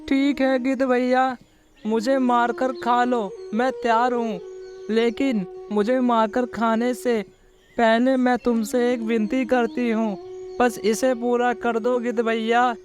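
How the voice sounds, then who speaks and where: A man's voice: extremely high pitch, flat delivery, pitched above the performer's own voice. A man voicing a small, clever female songbird in a children's cartoon story, plaintive and reproachful. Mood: resigned, coaxing. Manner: plaintive, reproachful, drawn-out pleading. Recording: loud music bed.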